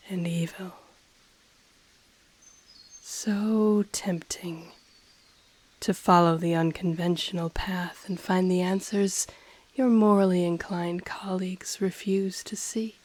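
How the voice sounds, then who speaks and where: A woman voicing an ancient, powerful dragon in a fantasy audio roleplay, haughty and intrigued, speaking formally in a breathy half-whisper. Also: lowish voice, darkish tone. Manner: slow, formal, deliberate, haughty. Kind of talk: scripted audio roleplay, intimate monologue to listener.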